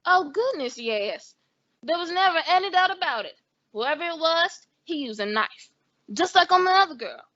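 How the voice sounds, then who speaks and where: Bright, gossipy telephone operator woman. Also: very high voice, lively intonation, no echo, highs cut off, full character voice. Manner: chatty, brisk, confiding. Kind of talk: old-time radio suspense acting.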